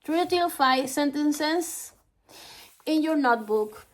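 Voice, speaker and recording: A woman from Ecuador, a native Spanish speaker with a Latin American Spanish accent, giving an instructional lesson as a language teacher in a halting, careful way, phrase by phrase. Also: high voice, animated.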